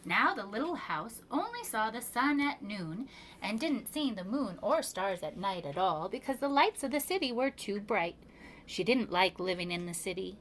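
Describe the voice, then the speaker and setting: A woman reading a children's picture book aloud as a preschool storyteller, with expressive, measured delivery and emphatic pauses. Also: mid-range voice, a little hiss or hum, poor audio.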